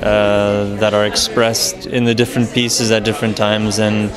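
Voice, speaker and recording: A man's voice: lowish voice, flat delivery, moderate pace, music in the background. A male figurative painter describing his work in a thoughtful, personal way. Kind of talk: interview answers. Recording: crowd chatter behind.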